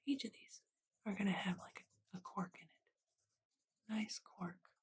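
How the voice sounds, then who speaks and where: A softly whispering ASMR host of unclear sex narrates a drawing gently and unhurriedly in short murmured phrases, close to the microphone. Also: medium pitch, steady tone, a little slow. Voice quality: soft, breathy whisper. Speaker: ASMR art channel host. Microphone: close USB condenser microphone. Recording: noisy close mic with clicks and pen scratching. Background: hiss, clicks and writing sounds.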